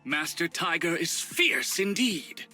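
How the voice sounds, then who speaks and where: An excitable, theatrical film-set type in a game. The voice is high and very sharp, swinging dramatically as he gushes. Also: slow, soft background music, heavily acted. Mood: inspired. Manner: gushing, dramatic. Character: excitable, pleading theatrical film-set man, full of flowery inspiration. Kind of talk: video game voice acting.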